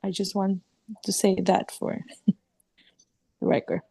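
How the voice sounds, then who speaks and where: A woman with a sore throat chairing a council meeting as mayor over a video call, speaking haltingly with fillers and restarts. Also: medium pitch, little pitch movement, gravelly, dark tone, breathy edge, dry recording.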